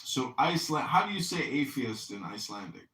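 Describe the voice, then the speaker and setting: A man hosts a call-in podcast in a mocking, lecturing tone and drags out each question. His voice is bright and forceful. It sounds distant and roomy, as if the mic sits well away from him. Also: medium pitch, very animated. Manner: lecturing, sarcastic, drawn-out questioning. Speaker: podcast host.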